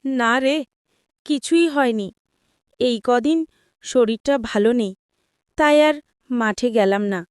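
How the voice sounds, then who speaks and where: A woman's voice: fairly high pitch, takes it slowly, long pauses, darkish tone, clean tone, dry recording, clean studio sound. A woman voicing a weary, hard-working village farm woman in a cartoon, plain and matter-of-fact. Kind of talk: cartoon voice acting.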